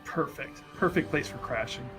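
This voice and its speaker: A man's voice: medium pitch, normal speed, music in the background. A man playing a tabletop roleplaying game, casually describing what his character does.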